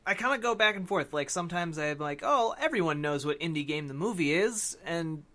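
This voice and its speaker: A man with a somewhat high-pitched voice and a crisp, forward brightness. He talks as a podcast host in a loose, conversational style, discussing films casually. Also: animated, a little fast.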